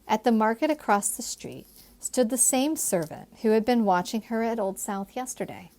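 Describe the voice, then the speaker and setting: Expressive woman narrator with slightly creaky voice. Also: a little high. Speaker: author reading own novel.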